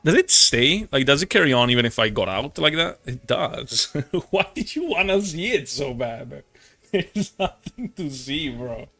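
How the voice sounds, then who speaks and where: A man's voice: medium pitch, expressive, measured pace, pushed and bright. Slangy young male game streamer. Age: young adult. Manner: slangy, profane, jokey. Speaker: livestreamer playing a game. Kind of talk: casual stream chat and game reactions.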